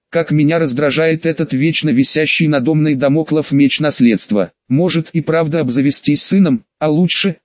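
A man reading a first-person audiobook in a measured, wry and weary way. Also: a little high, dry recording, muffled recording. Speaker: audiobook narrator.

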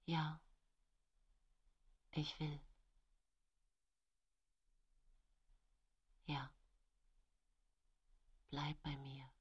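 A woman's voice: low voice, level tone, extremely slow, breathy edge, dry recording, muffled recording, heavily acted. An actress playing an embittered, pleading woman in a grim historical radio drama. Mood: weak, fading.

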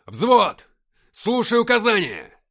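A man voicing a gruff, commanding army captain who barks blunt orders in the heat of battle in an audiobook. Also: a little high, sing-song, normal speed, pitched above the performer's own voice. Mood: shouting orders. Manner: barking, forceful, blunt.

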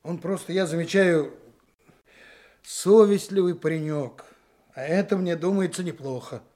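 An actor playing a fair-minded, kindly police colleague in a radio drama, speaking in a measured, considerate, slightly hesitant way. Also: male voice, a little high, a little mellow, lightly acted. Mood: advocating.

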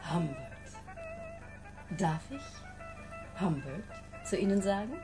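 A woman's voice: fairly low pitch, expressive, takes it slowly, over music. An actress playing a flirtatious, middle-aged widowed mother in a radio drama, effusive and theatrical. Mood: flirtatious, tipsy.

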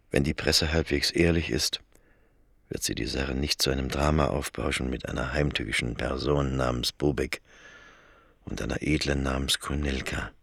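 A weary, embittered man confessing in a radio monologue. The voice is extremely deep, gravelly and husky. His delivery is sober and measured. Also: fairly bright tone, no room sound, clean studio sound, exaggerated voice. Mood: resigned, bitter.

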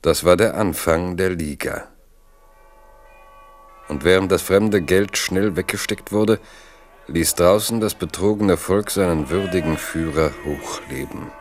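A man's voice: low-pitched, measured pace. A man narrating a scripted historical radio drama.

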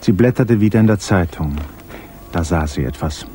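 A man narrating a 1950s German radio drama in a measured, grave literary style over a hissy old recording. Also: sits low, animated, dark tone, faint music behind.

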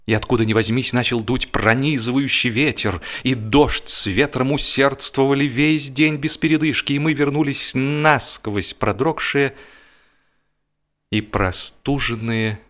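A man reading a humorous literary story aloud in an expressive, wry, theatrical storytelling manner. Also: mid-range voice, a little slow. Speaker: audiobook narrator and actor.

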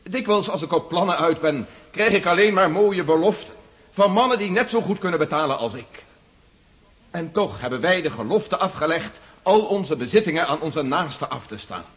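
Earnest, higher-voiced idealist orator. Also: male voice, lively intonation, moderate pace, fairly bright tone. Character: earnest idealistic nobleman addressing his lodge brothers. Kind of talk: formal speech in radio drama.

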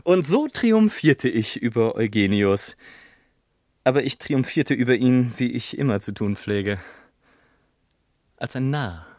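Radio play casting: witty, pompous gentleman narrator, theatrical and rhetorical, unhurried, very dry close sound. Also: medium pitch, animated, dry recording. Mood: wry, smug. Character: witty, pompous gentleman narrator telling his life story.

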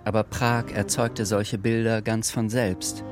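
Low-voiced male narrator over music. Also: little pitch movement, unhurried. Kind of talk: scripted literary radio drama narration.

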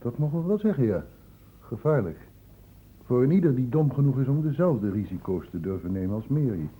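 A man playing a shrewd senior doctor in a Dutch radio play, brisk and probing, with a condescendingly paternal authority. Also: medium pitch, very animated, breathy edge. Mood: probing, fatherly. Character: shrewd senior male doctor questioning a student, brisk, fatherly. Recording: thin phone-like old radio recording, hissy.

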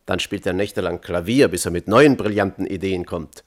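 An actor playing a thoughtful, conscience-troubled physicist testifying as a witness in a German radio play, measured and candid. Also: male voice, low-pitched, natural voice.

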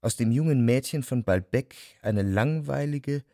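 An actor playing an indecisive, brooding young man, narrating a literary radio drama in a reflective, measured way. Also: mid-range voice, dark tone, no echo, studio quality, natural voice. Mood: brooding, melancholy. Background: instrumental music.

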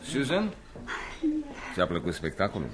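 An actor playing a smooth-talking, ardent young suitor in a radio play, teasing and theatrical in manner. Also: male voice, sits low, wildly swooping pitch, over music. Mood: eager.

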